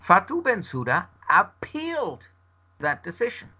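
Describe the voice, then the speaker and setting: A man whose first language is Spanish gives a long interview answer, arguing in a measured, emphatic way. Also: sits high, wildly swooping pitch, sharp tone, husky. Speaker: interview guest. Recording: dull lo-fi recording.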